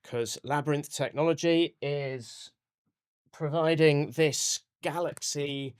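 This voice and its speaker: A man's voice: on the high side, steady tone, slow, smooth. A man hosting a podcast, putting questions to a guest in a conversational interviewing style. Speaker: podcast host.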